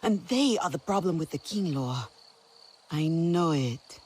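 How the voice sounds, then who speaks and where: A woman's voice: sits low, animated, measured pace, a little mellow, a little hiss or hum. An actress playing a determined female alien clan leader in a video game cutscene, speaking in a resolute, encouraging way.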